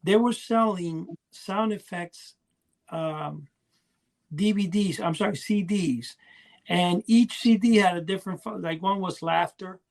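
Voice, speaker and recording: A man with a noticeably high voice talks in a loose, conversational way, like a collector on a podcast. He rambles, doubling back and drifting away from his own point. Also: unhurried, vocal fry. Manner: rambling, often losing his thread. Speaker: podcast co-host. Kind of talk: casual chat about collecting.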